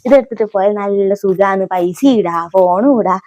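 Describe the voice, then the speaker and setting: A woman's voice: mid-range voice, moderate pace, dark tone, no echo, clean studio sound, close to an everyday voice. A woman playing a homely middle-aged village auntie in a comic mock vlog, with a Kannur, north Kerala accent and a folksy, rambling way of addressing her viewers fondly. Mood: homely, confiding. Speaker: comic vlogger character.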